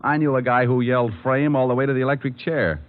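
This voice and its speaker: An actor playing a cool, hardboiled, wry and slangy private detective in 1940s radio style. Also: male voice, medium pitch, fairly even intonation, fast, lightly acted. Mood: dry, wry. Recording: dull lo-fi old radio recording.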